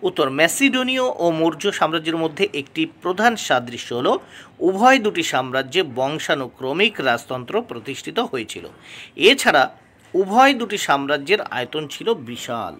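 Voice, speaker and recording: A man's voice: on the high side, big pitch swings, fairly quick, bright, forceful tone. A man tutoring for history exams, reading questions and model answers aloud in a didactic way, announcing each item and repeating key terms.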